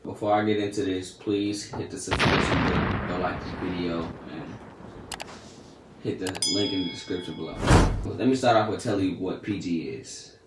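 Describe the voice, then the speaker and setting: A man's voice: deep voice, moderate pace, echoey room, far from the mic, very noisy. A teenage boy telling a story to camera in a casual, slangy, chatty way. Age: teens. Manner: laid-back, slangy and chatty. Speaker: vlogger.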